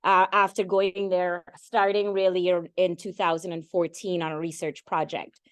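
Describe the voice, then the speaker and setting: A middle-aged woman from Guyana giving a conversational engineering lecture with slides, often pausing with fillers and restarting her sentences. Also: fairly low pitch, level tone, smooth, dry recording. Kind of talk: academic talk with slides.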